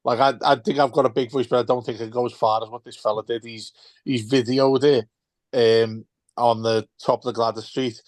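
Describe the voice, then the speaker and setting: A man's voice: mid-range voice, steady tone, fairly quick, no room sound. A man co-hosting a football podcast, telling stories with lots of swearing, laughter and stumbling restarts. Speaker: podcast co-host. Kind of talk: casual football podcast banter.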